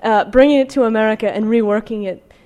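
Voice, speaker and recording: A woman giving a scholarly academic talk, presenting research and reading from texts in a careful, somewhat halting way. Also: a little high, mellow. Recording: long hall reverb.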